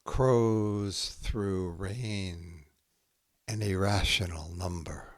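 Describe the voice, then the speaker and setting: A man with a low voice reads poetry aloud at a very unhurried pace. He delivers it in short, measured phrases with space between them. The sound is dry, with very little room in it. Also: steady tone, no room sound. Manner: measured, with short phrases set apart by pauses. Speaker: podcast host reading poetry aloud. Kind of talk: poetry reading, haiku recited line by line.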